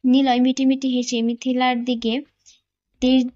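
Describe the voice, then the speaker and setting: A woman's voice: high-pitched, level tone, a little slow, mellow, muffled recording. A woman reading a scripted romantic story as a dramatic narrator.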